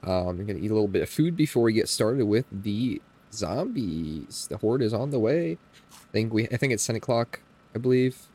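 Nasal man casually narrating gameplay. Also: fairly low pitch. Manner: relaxed, talks to viewers as "boys". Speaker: gaming streamer. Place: home room, indoors. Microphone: headset.

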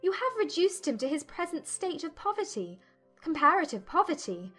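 A woman acts a spirited young gentlewoman from a period romance audiobook. Her high voice delivers formal, accusing lines with firm emphasis and indignation. Music plays softly behind her. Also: sing-song, moderate pace, full character voice. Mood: angry. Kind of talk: dramatised audiobook acting.

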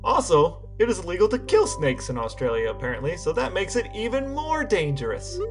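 A man's voice: high voice, big pitch swings, a little fast, music in the background. A man, a podcast co-host, giving a dramatic reading of written messages.

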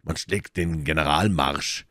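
This is a man reading as a composed musketeer who takes command in an audiobook adventure. His voice is very low, measured and authoritative, with wry calm. The recording is dry and close. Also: no room sound, heavily acted. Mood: cool, wry. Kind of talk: audiobook character dialogue.

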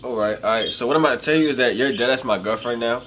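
A man's voice: mid-range voice, rapid-fire, noisy background. A young man from Florida, joking and teasing in a casual video chat with strangers, laughing often. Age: young adult. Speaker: YouTuber. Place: small indoor room.

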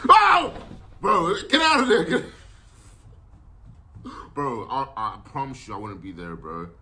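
A man's voice: medium pitch, very animated, unhurried, a crowd in the background. A man in his twenties giving casual, slangy, profane reaction commentary as host of a video. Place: small room indoors.

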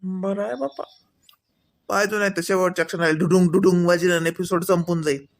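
A man's voice: high-pitched, fairly even intonation, a little fast, no echo, studio quality. A man voicing an easygoing young husband and father in a comedy cartoon, speaking casual, homely Marathi with a Varhadi accent from Vidarbha. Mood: sleepy, teasing. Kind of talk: colloquial domestic comedy cartoon dialogue.

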